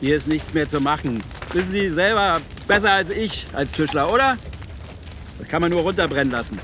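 An actor playing a brusque, dismissive official in a radio drama, matter-of-fact and condescending. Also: male voice, a little high, expressive, brisk, background noise, natural voice. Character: brusque, dismissive male official at a fire scene. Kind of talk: German radio drama acting.

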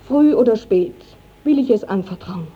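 High-voiced, husky, earnest village maiden. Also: female voice, animated, moderate pace. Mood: solemn defiance. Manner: solemn, declamatory. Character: earnest, proud, guarded young village maiden. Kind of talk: classical German stage-style radio acting. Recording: dull 1950s radio drama recording.

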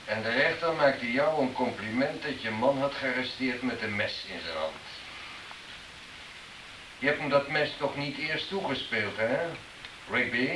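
An actor playing a stern, suspicious police inspector in a Dutch radio play, dry and authoritative. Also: male voice, medium pitch, animated, measured pace, natural voice. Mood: suspicious, curt. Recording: distant, roomy, noisy old radio recording.

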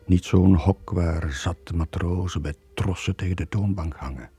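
An actor playing a bitter, lonely middle-aged sailor in a radio drama, brooding and confessional. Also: male voice, low-pitched, measured pace, dark tone. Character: bitter, lonely long-serving merchant sailor Joon. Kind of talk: 1980 radio drama acting.

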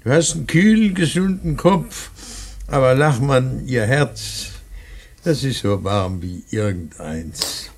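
A man's voice: mid-range voice, expressive, slow. An actor playing a solemn, tender old father in mourning in a radio play, ponderous and philosophical, repeating his words. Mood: tender, firm. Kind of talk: German radio play acting.

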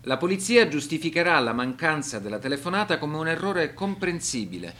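A man's voice: a little high, sing-song, fairly quick, pushed and bright. Male narrator, serious, widely varied intonation. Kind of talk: scripted documentary radio drama narration.

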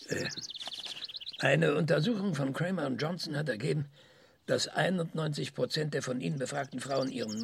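A man's voice: fairly high pitch, gravelly, noisy background. An older man in a radio drama playing an aggressive, eccentric, obsessive scientist who speaks in a hesitant, fitful way.

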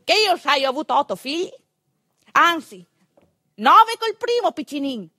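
A woman's voice: sits high, sing-song, measured pace, sharp tone, dry recording, studio quality, voice pushed up, heavily acted. A woman playing a meddling old peasant grandmother in a stage monologue, boastful and rambling, with a northeastern Italian accent. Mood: boastful, grumbling.

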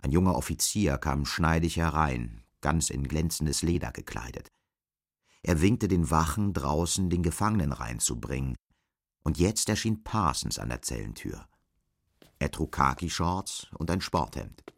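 A man's voice: a bass voice, lively intonation, measured pace, gravelly. A man reading scripted literary narration as the narrator of a German radio play.

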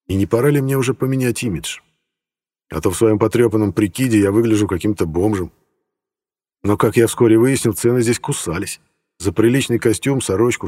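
A man's voice: on the low side, a little mellow, breathy edge, in a large hall. A man reading first-person fiction as a scripted audiobook narration.

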